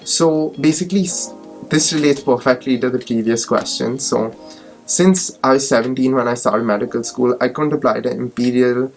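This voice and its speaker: Gravelly-voiced young man from India. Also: medium pitch, normal speed, soft background music. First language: Hindi. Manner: relaxed, thinks aloud with fillers. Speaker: medical student YouTuber. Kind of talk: casual Q&A vlog.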